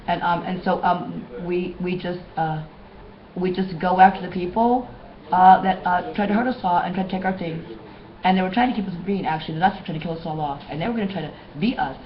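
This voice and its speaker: A hoarse woman talking to her webcam in a rambling, repetitive way, often restarting her sentences. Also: fairly low pitch, muffled recording, slight background noise. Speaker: vlogger. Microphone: webcam mic.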